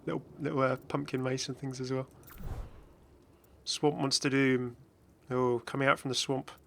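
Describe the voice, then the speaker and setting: A man's voice: mid-range voice, flat delivery, normal speed, creaky, fairly bright tone, slight background noise. A man giving casual, chatty commentary as host of a gaming video. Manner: chatty, frequently chuckling.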